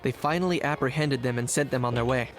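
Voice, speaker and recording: A man playing a modest, earnest young guard in an anime game, steady and understated. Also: mid-range voice, fast talker, close to an everyday voice. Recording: game music behind the voice.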